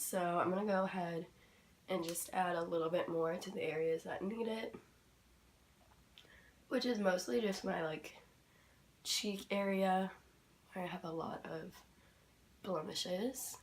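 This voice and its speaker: Young woman, slightly raspy, just woke up, low voice, rambling casual chat, bedroom vlog. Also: fairly even intonation, unhurried, pauses often. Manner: chatty, rambling, full of "like" and "um". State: tired, just woken up. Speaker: beauty vlogger. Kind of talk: casual makeup tutorial chat. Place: her bedroom.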